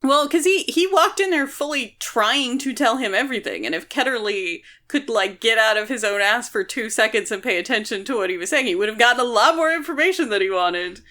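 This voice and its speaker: A woman's voice: a little high, expressive, a little fast, hardly any pauses, pushed and bright. Woman chatting casually as podcast co-host. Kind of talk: casual chat discussing a novel.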